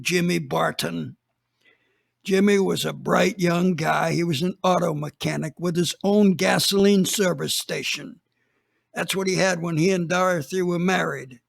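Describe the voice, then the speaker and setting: A man's voice: sits high, vocal fry, fairly bright tone, breathy edge. A man reading a dramatized first-person story as a police chief, plainspoken and matter-of-fact.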